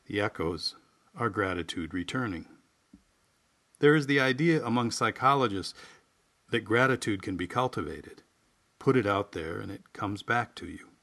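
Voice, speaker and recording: A man. He speaks as a solo podcast host and writer, with a folksy, unhurried delivery. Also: on the low side, fairly bright tone. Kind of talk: casual monologue and reflective essay reading.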